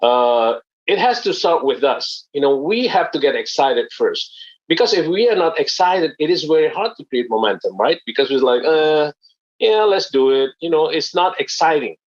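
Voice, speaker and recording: A man's voice: on the high side, big pitch swings, moderate pace, lo-fi sound. A man from Indonesia giving an earnest, explanatory business webinar talk with frequent fillers. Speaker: network marketing presenter.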